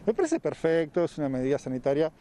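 A man's voice: a little high, big pitch swings, fast, warm tone, a little hiss or hum. A man in his thirties with a Uruguayan accent, interviewed outdoors into a handheld mic, complaining emphatically and upset. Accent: Uruguayan Spanish. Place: outdoors at a border post. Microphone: handheld reporter mic.

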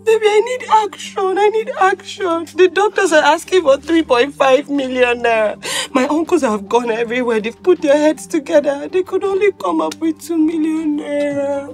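A distraught Nigerian girlfriend pleads in a high voice, repeating herself. It is film drama dialogue with music behind it. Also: moderate pace. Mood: tearful, pleading. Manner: pleading, repetitive.

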